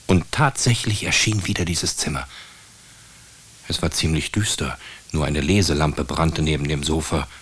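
A man with a deep, husky voice narrates a radio play. His delivery is scripted storytelling. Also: moderate pace, fairly bright tone.

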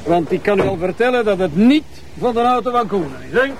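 Grumpy Flemish forensics man, higher-pitched voice. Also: lively intonation, fast, slight background noise. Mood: mocking. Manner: gruffly mocking, bossy. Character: grumpy, sarcastic male forensic investigator. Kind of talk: naturalistic television crime drama acting.

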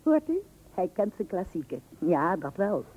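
Wry Dutch wife, lively intonation. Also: female voice, on the low side, a little slow, husky, heavily acted. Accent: Netherlands Dutch. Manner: dryly ironic, mock-patient. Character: wry, long-suffering wife humouring her pompous husband. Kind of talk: old Dutch radio comedy acting. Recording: muffled old radio recording.

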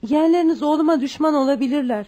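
Radio drama role: humble, proud, worried mother, high soft-edged mellow voice, cautious delivery, played by an actress. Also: racing, close to an everyday voice. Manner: cautious, earnest.

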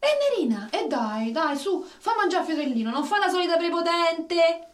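Irritable cat feeder, very high swooping voice, scolding, radio drama, roomy sound. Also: female voice, expressive, normal speed, recorded from a distance. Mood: bossy irritation. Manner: snappy, scolding, impatient. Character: bossy, irritable woman feeding stray cats. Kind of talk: comic radio play acting. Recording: roomy, noisy, off-mic radio play.

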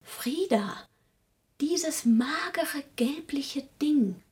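A woman narrating a literary radio drama with a husky, breathy voice and a knowing, catty manner. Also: high-pitched, expressive, drawn-out words, a little mellow, no room sound, exaggerated voice.

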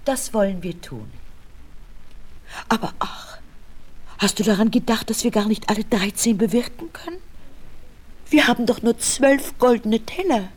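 A woman playing a gentle, anxious queen in a fairy tale audio play, with a tender, theatrical delivery. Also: on the high side, unhurried, long pauses, breathy edge. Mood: worried.

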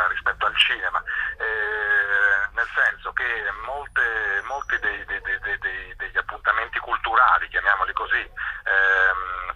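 Man, lowish husky voice, very bright, halting and repetitive, dull phone line. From Italy. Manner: hesitant, many repeated words and fillers. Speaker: cultural event organizer. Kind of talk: phone interview. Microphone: telephone.

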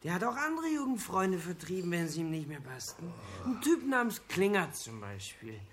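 A woman's voice: on the low side, very animated, moderate pace, a little hiss or hum. A woman acting a blunt, colloquial, irreverent investigator in a naturalistic radio drama. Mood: scornful.

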